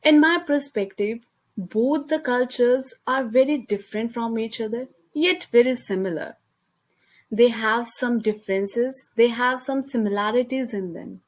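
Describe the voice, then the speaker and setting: A woman's voice: a little high, measured pace. A young woman from India with an Indian accent, a native Hindi speaker, reading a class presentation over slides with careful pacing and small slips. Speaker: student presenter. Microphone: computer mic, voice-over on slides.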